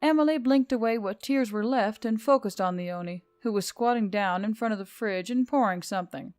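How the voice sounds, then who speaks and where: A woman narrates fiction in an audiobook style. Her voice sits at a middle pitch, and the recording is very dry, with little room sound. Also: brisk, darkish tone, clean tone, dry recording, soft background music.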